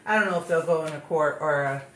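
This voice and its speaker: A woman's voice: sits low, fast talker, fairly bright tone. Stuffed-up woman chatting in a kitchen. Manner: chatty, thinks aloud with fillers. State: stuffed-up nose. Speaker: home cook vlogger. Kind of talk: casual kitchen demonstration. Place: home kitchen. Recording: echoey kitchen with background noise.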